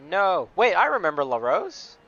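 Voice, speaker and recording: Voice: mid-range voice, huge pitch swings, moderate pace, fairly bright tone, muffled recording. A livestreamer giving casual commentary on a game with joking asides.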